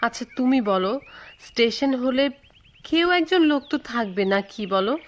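A devoted, anxious young woman played by an actress in a Bangladeshi radio drama. Her fairly high voice is earnest and pleading, heard through a slightly dull, lo-fi recording. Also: animated, moderate pace, lightly acted. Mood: doubtful, uneasy.

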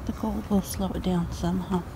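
A woman's voice: fairly even intonation, a little fast, music in the background. An older woman talking to her dogs and chickens while doing morning chores in the backyard, filming on a handheld phone. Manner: talks sweetly to dogs and chickens in a high sing-song. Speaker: vlogger. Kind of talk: casual narration while doing farm chores. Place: backyard and shed at night, outdoors. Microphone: handheld phone camera.

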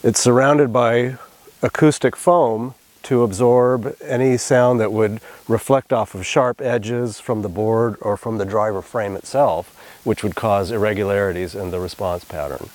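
Casting: male instructor, 40s, slightly low voice, clear explanatory demonstration style. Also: steady tone, unhurried, darkish tone. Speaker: physics lab instructor. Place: small anechoic chamber, indoors.

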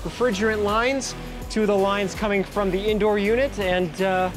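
Chatty 30s guy with a high voice hosting a diy video, music playing behind him. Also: fairly even intonation, moderate pace. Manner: chatty and joking, with fillers. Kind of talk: casual how-to narration. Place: garage and outdoors beside a house.

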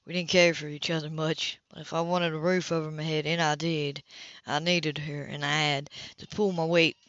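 A tough, streetwise man played by a woman reader speaks low in an audiobook, cynical and matter-of-fact about a hard life. The sound is dry and slightly dull. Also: flat delivery, moderate pace, a bit bright, no room sound. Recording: dull lo-fi home recording.

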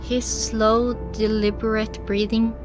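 A woman reads a first-person story as an audiobook narrator. Her voice sits fairly high, and music plays clearly behind her. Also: little pitch movement, slow.

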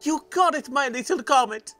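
A woman voicing an excitable, encouraging demon bird in a comic dub. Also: high-pitched, moderate pace, faint music behind. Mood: excited, encouraging. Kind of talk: fan comic dub acting.

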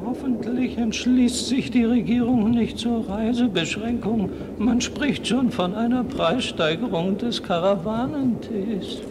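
A chatty, worried merchant in a radio play. His voice is high and unhurried as he gossips, with loud train noise all around. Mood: worried, inquisitive. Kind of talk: German radio play acting.

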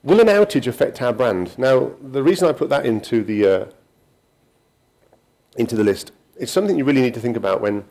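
A middle-aged man giving a technical conference talk in a hall, pausing often with ums and restarting sentences. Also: medium pitch, expressive, darkish tone. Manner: halting, many ums and restarts. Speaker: conference speaker.

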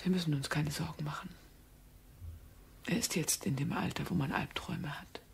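A woman acting a tender, anxious wife and mother in a German radio play, with a husky, breathy voice. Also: sits low, steady tone, normal speed, darkish tone, whispered. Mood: hushed, confiding.

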